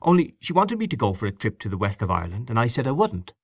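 A man voicing an awkward, defensive gentleman giving clipped, reluctant answers. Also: medium pitch, very animated, fast, husky, dry recording, clean studio sound.

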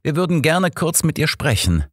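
A man voicing an old detective in an audiobook, a composed, polite questioner who is soft and quietly persistent. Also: fairly high pitch, very animated, normal speed, slightly rough, dry recording, clean studio sound, pitched above the performer's own voice, exaggerated voice. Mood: gentle, probing.

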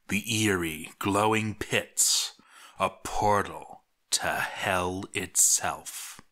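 A man voicing a vengeful dead villain bound to demons, hushed and menacing in a dramatic story reading. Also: low-pitched, full character voice.

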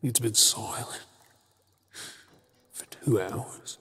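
Man playing a very raspy Australian captive werewolf. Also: lowish voice, very slow, bright, forceful tone, full character voice. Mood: anguished, desperate. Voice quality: breathy, very raspy, often whispering. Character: exhausted, defiant captive werewolf boyfriend. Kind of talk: ASMR audio drama acting.

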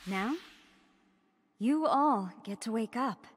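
A woman's voice: on the high side, animated, slow pace, slightly rough, full character voice. A woman voicing a serene, gracious sheep guardian in a cartoon video game, composed and formal. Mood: gentle.

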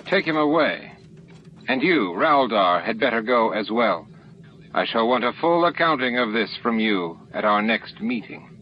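A man voicing an authoritative male alien chief, smooth, measured and formal. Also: mid-range voice, lively intonation, natural voice. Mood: commanding. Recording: dull lo-fi audio, faint noise.

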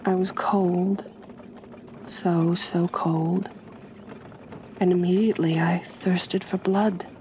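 A woman playing a kind, possessive aristocratic vampire mistress in an ASMR roleplay, speaking gently in a measured, genteel, soothing way. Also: lowish voice, little pitch movement, a little hiss or hum. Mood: tender, soothing.

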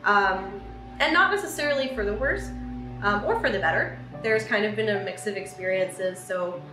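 A woman's voice: mid-range voice, normal speed. Chatty young woman student, roomy sound. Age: around 20. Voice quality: loud voice. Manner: chatty with frequent ums and restarts. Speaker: engineering student vlogger. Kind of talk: reflective talk to camera. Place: room with large window, indoors. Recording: roomy with background music.

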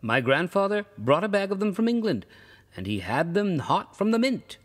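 A man's voice: sits high, big pitch swings, moderate pace, pitched above the performer's own voice. A proud old-time coachman, lost on the road and sure of himself, speaks in stiff, formal phrases, as a storyteller puts him on. His voice sits well above the reader's own. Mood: boastful. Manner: boastful, old-fashioned formal speech. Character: proud, bewildered old-time male carriage driver.

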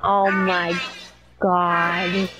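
A woman's voice: lowish voice, little pitch movement, very slow, over loud music. A woman chatting and teasing casually with friends on a group video call. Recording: very noisy video call audio.

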